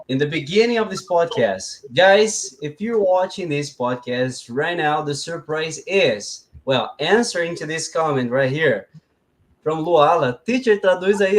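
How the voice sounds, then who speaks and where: A man with a Brazilian accent hosts a live podcast in a casual, conversational style. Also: fairly high pitch. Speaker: podcast host.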